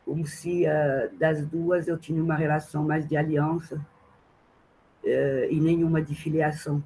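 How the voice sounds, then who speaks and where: A woman's voice: low-pitched, little pitch movement, moderate pace, mellow, slight background noise, low-quality recording. A woman from France with a French accent in Portuguese, giving a reflective academic talk as a guest speaker and thinking aloud with many fillers and tag questions. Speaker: guest speaker, translation professor. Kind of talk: academic talk in an online seminar.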